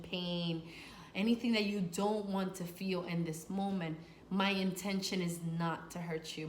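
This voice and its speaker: Echoey woman coach talking informally to camera. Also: on the low side. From Dominican, grew up in New York. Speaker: sex and life coach, video host. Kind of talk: conversational coaching advice to camera. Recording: echoey room with faint noise.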